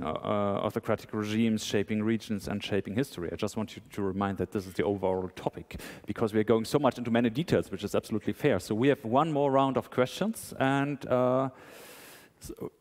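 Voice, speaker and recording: A man moderating a conference panel discussion, speaking as an in a halting way that searches for words. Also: on the low side, darkish tone. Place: large conference hall. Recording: long hall reverb.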